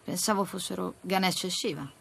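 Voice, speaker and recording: A woman's voice: fairly low pitch, brisk, gravelly, a bit bright, slight background noise. A woman playing a stern, sceptical, terse fighter in an Italian video game dub. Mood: calm. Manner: terse, guarded, serious. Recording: background music under dialogue.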